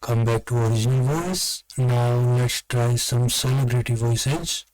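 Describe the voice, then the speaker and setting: A man walks through a software demonstration in a plain instructional manner. His delivery is very slow and his intonation stays flat, at a medium pitch. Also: poor audio. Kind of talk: step-by-step tutorial narration.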